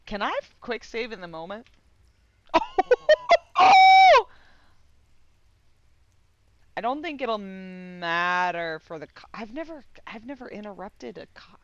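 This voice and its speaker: A woman giving casual live game commentary, excitable and giggly, swearing and meandering as she thinks aloud. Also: medium pitch, takes it slowly, long pauses, no echo, muffled recording. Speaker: game streamer.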